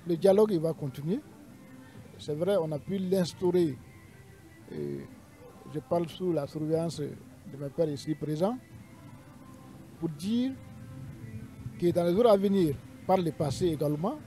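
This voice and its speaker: A man with a Malian accent answering formally as a panel guest, deliberate and measured, pausing between phrases. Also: fairly high pitch, warm tone, poor audio.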